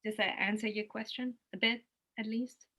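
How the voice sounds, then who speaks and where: A woman with a German accent, a native German speaker, casually explaining her work in a halting way as she thinks aloud. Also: a little high, steady tone, a bit bright. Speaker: interviewed art educator giving museum tours.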